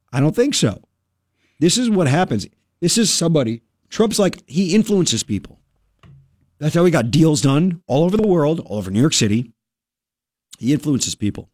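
A male radio host telling a story in casual talk-radio style, animated and full of quick asides. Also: on the high side, dry recording, clean studio sound.

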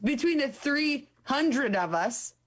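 A woman's voice: high voice, expressive, slow. A young woman co-hosting a radio morning show, giggly and teasing in casual banter. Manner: giggly, self-deprecating, teasing. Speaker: radio co-host. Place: radio studio.

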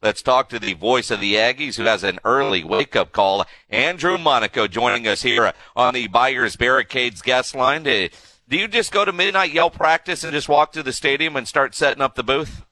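A man's voice: medium pitch, lively intonation, moderate pace, bright, forceful tone, no room sound. An American man hosting a casual sports talk radio show, bantering with his guests. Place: radio studio.

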